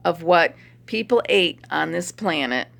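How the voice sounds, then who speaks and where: A middle-aged woman chiropractor with a hoarse voice and a stuffy nose, chatting opinionatedly to a phone camera and laughing as she talks. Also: low-pitched, expressive, a little slow, a bit bright. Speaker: chiropractor vlogger. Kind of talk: casual talk to camera.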